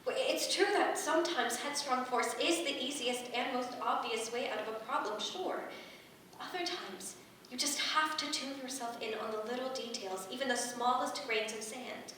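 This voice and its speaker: Echoey, distant stage-reading role: actress as an encouraging heroine, earnest, coaxing, deliberate, with a bright edge. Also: female voice, a little high, natural voice. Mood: coaxing, calm. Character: steady, encouraging young heroine with water powers. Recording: echoey room from afar, faint noise.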